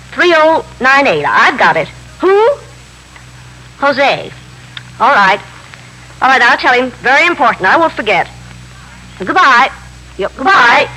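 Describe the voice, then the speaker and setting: An actress in a 1950s radio drama playing a talkative, excitable middle-aged widow who runs a candy store, repeating herself in simple, slightly broken grammar. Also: female voice, high-pitched, exaggerated voice. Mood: flustered, put-upon. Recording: noisy vintage radio broadcast recording.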